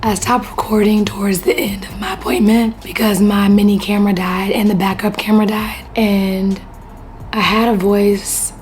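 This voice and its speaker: A woman from Houston who has lost her voice, chatting to camera in a hoarse near-whisper as a beauty vlogger. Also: mid-range voice, flat delivery, moderate pace, darkish tone, echoey room, a little hiss or hum. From Houston, Texas. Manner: chatty, addresses viewers as y'all. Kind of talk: casual vlog chat to camera. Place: hotel room, then bathroom.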